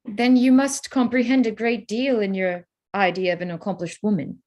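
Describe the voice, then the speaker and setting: A woman's voice: medium pitch, steady tone, normal speed, a little mellow, natural voice. A woman playing a witty, teasing, spirited young lady in a period drama reading, dry, playful and composed. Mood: pointed.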